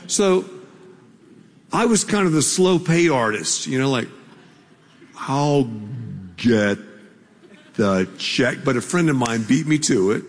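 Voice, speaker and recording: Slow-talking pastor in a big reverberant hall. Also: male voice, mid-range voice, expressive. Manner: storytelling, conversational, playful asides to the congregation. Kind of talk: sermon. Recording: long reverb of a large hall.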